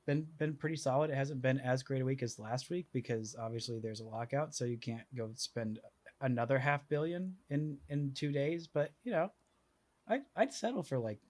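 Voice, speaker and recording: A man's voice: medium pitch, moderate pace, darkish tone. Man, podcast host, casual, rambling, filler-heavy.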